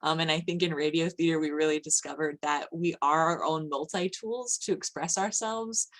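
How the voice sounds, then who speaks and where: A woman's voice: low voice, fairly even intonation, moderate pace, a bit bright. A woman speaking as a panelist in a casual, reflective and unscripted way.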